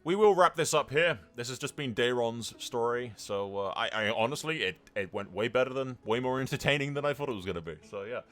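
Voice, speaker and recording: A man's voice: mid-range voice, a little fast, few pauses, fairly bright tone, soft background music. A man hosting a gaming channel, giving casual, reactive commentary on a roleplay playthrough in slangy, sweary language.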